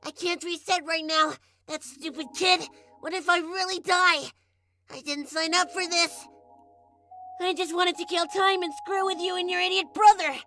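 A snarky little flower creature with a piercing, very high voice. It sounds bright and forceful, selfish and scornful, in a cartoon comic dub over faint music. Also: female voice, fairly even intonation, moderate pace. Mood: panicked, angry. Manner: exasperated, sarcastic, scornful. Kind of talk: animated comic dub voice acting.

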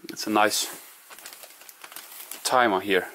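A man narrating quietly to camera as he explores an abandoned house, musing in hushed. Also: fairly low pitch, steady tone, slow, a little hiss or hum. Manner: hushed, musing, non-native English phrasing. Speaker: urban explorer vlogger. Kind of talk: casual narration while exploring.

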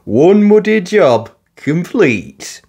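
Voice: mid-range voice, very animated, drawn-out words, darkish tone, breathy edge, voice pushed up, heavily acted. A storybook narrator voicing a small, eager, earnest male digger. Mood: proud.

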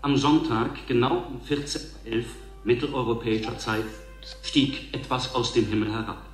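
An actor playing a solemn, emphatic TV announcer in a radio drama. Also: male voice, mid-range voice, steady tone, soft background music, close to an everyday voice. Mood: portentous. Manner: emphatic, drawn-out, portentous. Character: solemn male TV announcer and reporter. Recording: roomy, echoing announcer sound.

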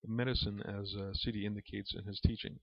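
A man reading aloud from a spiritual book as a lesson, in a measured, reverent manner. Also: lowish voice, flat delivery. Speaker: reader and religious teacher. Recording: dull, lo-fi recording in an echoey room.

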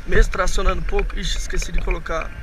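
A hoarse man with a Brazilian Portuguese accent, chatting to his viewers as he rides an electric tricycle through city streets. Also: sits high, level tone, quick pace. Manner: chatty, rambling, addresses viewers as family. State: hoarse from a cold. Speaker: vlogger. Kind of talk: casual vlog talk while riding. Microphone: action camera on the handlebars. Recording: loud road and wind noise.

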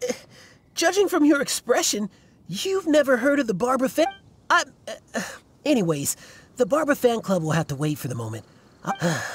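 A man's voice: high voice, a little hiss or hum. A man voicing a devoted, fretful young fan club leader in a video game, speaking earnestly and dramatically, with some hesitation. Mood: eager. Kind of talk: video game character dialogue.

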